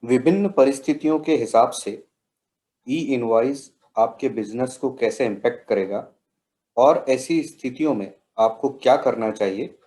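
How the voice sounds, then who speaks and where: A man with an Indian accent explains software steps as a trainer, in measured, instructional phrasing. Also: mid-range voice. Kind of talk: instructional software tutorial narration.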